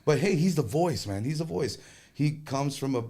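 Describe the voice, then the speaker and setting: A man speaking, a conjunto band leader chatting casually as a guest, animated and joking, with quick bursts. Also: a little high. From Texas. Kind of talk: casual interview chat.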